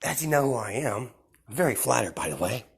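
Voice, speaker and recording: A woman voicing a gleeful, sadistic young male villain in a fan story, with a mocking, drawn-out, often whispered delivery. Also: very deep voice, very animated, vocal fry, husky, full character voice. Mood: mocking menace.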